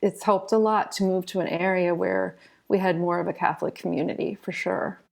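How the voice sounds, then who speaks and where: American woman being interviewed, bit of vocal fry, chatty and informal, explains stuff with little stories. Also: on the low side, steady tone, brisk, darkish tone. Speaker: interview guest, children's author and illustrator. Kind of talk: conversational interview answers, storytelling.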